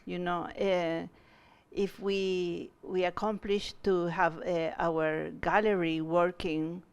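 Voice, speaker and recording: A woman's voice: fairly low pitch, flat delivery, slow, clean tone, lo-fi sound. A woman from Chile, a guest artist who answers audience questions at a museum talk and often restarts as she searches for words. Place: museum event space.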